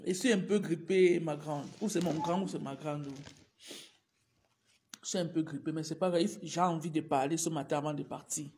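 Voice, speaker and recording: A woman's voice: low voice, steady tone, normal speed, darkish tone, slight background noise. A woman teacher with a cold, speaking casually in a live stream and urging her viewers again and again. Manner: repetitive, urging viewers over and over. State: has a cold, clears throat and coughs. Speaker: teacher livestreaming to followers.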